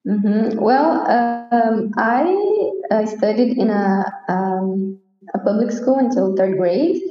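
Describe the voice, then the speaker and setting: A woman's voice: medium pitch, lively intonation, slow pace, very echoey, long reverb tail, dull audio. A woman from Brazil with a Brazilian Portuguese accent, answering interview questions hesitantly and using many fillers. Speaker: guest, English teacher.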